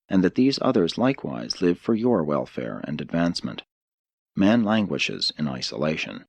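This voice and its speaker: Deep-voiced man narrating, husky and expressive. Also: no echo. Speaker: audiobook narrator. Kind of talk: scripted audiobook narration of a spiritual text.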